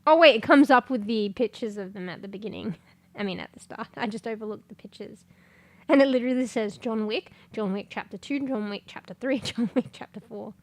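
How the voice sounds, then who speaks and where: Woman, fairly high voice, stuffy and congested, rambling stream chat, swears casually. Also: a little fast, no echo. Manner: rambling, casual swearing, sudden loud exclamations. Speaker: livestreamer.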